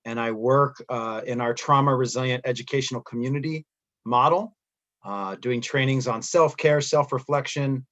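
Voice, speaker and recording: A man from San Diego, a school principal, introducing himself on a panel in a measured, sincere way. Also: mid-range voice, a bit bright. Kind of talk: unscripted introduction.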